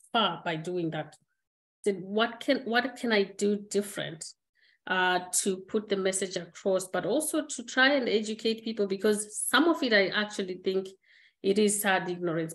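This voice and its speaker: A middle-aged woman from Zimbabwe speaking on a webinar call, reflective and earnest, pausing on fillers and restarting her sentences. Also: medium pitch. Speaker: guest speaker. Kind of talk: candid personal conversation. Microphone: webinar video call.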